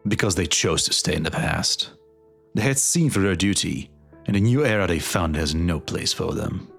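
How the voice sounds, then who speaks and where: A weary, resolute fantasy game warlord played by a man speaks in a deep voice. He is grave and fatalistic, weighing each phrase deliberately over faint background music. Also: expressive, gravelly, a bit bright, full character voice. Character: grim, fatalistic male war leader, weary and resolute. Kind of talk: dramatic fantasy game dub acting.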